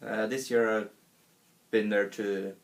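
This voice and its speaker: Young man, from Norway, low voice, flat intonation, modest, halting. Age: young adult. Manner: plain, modest, halting with short phrases. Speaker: college bowler. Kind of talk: casual interview answers.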